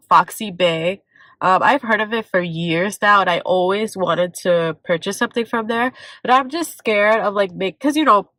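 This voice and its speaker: A young woman with a stuffy, congested voice chats on a vlog from a parked car. She rambles and corrects herself, her voice sitting a little low and close to the mic, with a dry sound and no room around it. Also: fairly bright tone, no echo. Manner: rambling, self-correcting, many fillers. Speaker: vlogger. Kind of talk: casual vlog chat.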